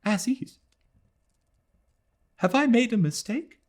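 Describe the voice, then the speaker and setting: A man voicing a distraught, remorseful young Englishwoman, high and pleading. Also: lively intonation, takes it slowly, heavily acted. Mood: anguished pleading.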